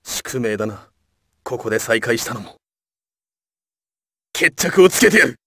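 An actor voicing a resolute, cool-headed android warrior in a video game, speaking tersely. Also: male voice, medium pitch, lively intonation, measured pace, dry recording, clean studio sound, full character voice. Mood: grim resolve. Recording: loud game music behind.

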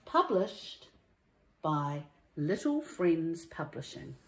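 A woman reads a children's storybook aloud like a primary teacher, in a deliberate, emphatic and expressive way. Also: low voice. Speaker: primary school teacher reading a story to young pupils.

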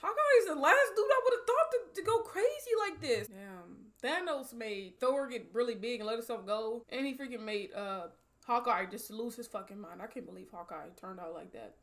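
A woman in her twenties giving casual, slangy, animated reaction commentary and swearing freely. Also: on the high side, moderate pace. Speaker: movie reaction host. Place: small indoor room set up as a streaming studio.

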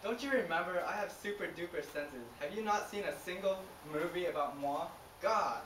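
A woman's voice: fairly low pitch, moderate pace, fairly bright tone, natural voice. A woman voicing a boastful, touchy male shark in an amateur comic puppet show, with a giggly delivery. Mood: indignant. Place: indoors, homemade puppet stage filmed by a camera. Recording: echoey, distant, noisy home camera recording.

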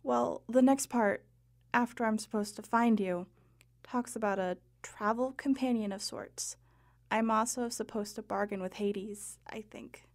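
Actress as questing Greek-myth heroine, fairly high, heavy creak, earnest, guarded, hesitant. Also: female voice, measured pace, a little mellow. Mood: unsure. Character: grieving, guarded woman hero of ancient Greece on a quest. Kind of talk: audio drama acting.

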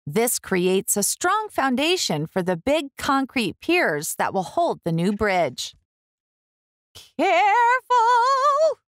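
A woman reading a picture book aloud for young children, expressively, with deliberate, clear enunciation. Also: medium pitch, very animated, dry recording, studio quality. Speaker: children's book reader, host.

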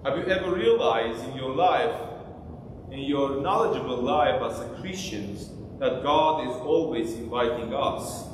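A man's voice: a little high, background noise. A man in his thirties, an Armenian-speaking priest, preaching to his congregation and asking them questions. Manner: interactive, asks the congregation questions. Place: small church sanctuary, indoors. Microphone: far camera. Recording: echoey church from far off.

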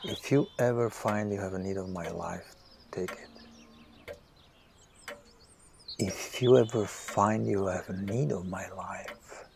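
A man's voice: low-pitched, measured pace, vocal fry, background noise. A man playing a distracted, weak-willed, infatuated writer in an audio drama, hesitant and imploring. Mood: absent-minded.

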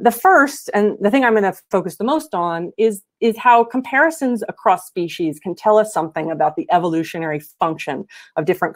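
Woman, slightly low voice, researcher explaining slides, seminar presentation on a video call.

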